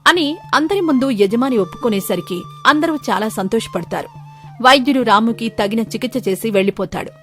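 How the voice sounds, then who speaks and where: A woman's voice: fairly high pitch, a bit bright. A woman narrating a scripted moral story.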